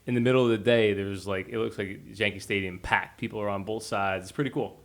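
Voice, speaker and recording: Very deep-voiced, fast-talking man host. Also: steady tone. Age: 30s. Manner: quick, chatty interjections. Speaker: podcast host. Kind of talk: casual interview conversation.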